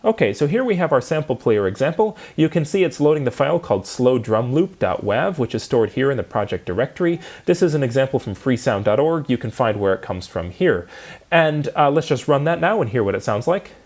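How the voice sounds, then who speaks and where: A man's voice: mid-range voice, big pitch swings, fairly quick, darkish tone. A man giving an instructional lecture, explaining things methodically step by step.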